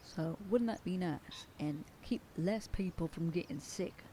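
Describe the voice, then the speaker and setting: A woman's voice: fairly low pitch, a little slow, dark tone, slight background noise. A woman playing a stern werewolf sheriff girlfriend. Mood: bossy. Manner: scolding, bossy then whispering tenderly. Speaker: voice actor. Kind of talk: F4M ASMR roleplay.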